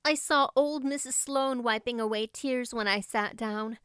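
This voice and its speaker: A woman's voice: on the high side, unhurried, fairly bright tone, clean tone, no room sound, clean studio sound, voice pushed up. A woman voicing a dreamy, dramatic thirteen-year-old girl in an audiobook, gushing and romantic. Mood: excited, gushing. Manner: breathless, gushing, lofty at times. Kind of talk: audiobook character voice.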